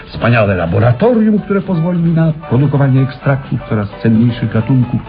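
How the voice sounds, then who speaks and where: An actor playing an eccentric, obsessive male inventor in a radio play, telling his story in a theatrical, halting way with dramatic pauses. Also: mid-range voice, expressive, soft background music, lightly acted. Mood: wistful, dreamy. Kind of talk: Polish radio play acting. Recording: dull old radio drama recording.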